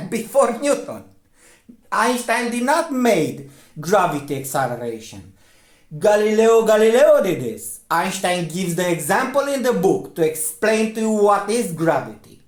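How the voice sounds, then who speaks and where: Slow, insistent man with a Romanian accent. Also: high-pitched, echoey room. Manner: insistent, repeats "okay?", hesitant fillers. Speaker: video commentator. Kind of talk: lecturing commentary.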